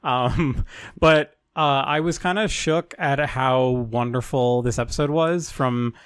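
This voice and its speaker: A man's voice: mid-range voice, slow pace, clean tone, no echo. Dry-sounding young man, rambling podcast chat. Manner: loose, rambling, swears casually. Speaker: podcast co-host. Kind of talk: casual chat.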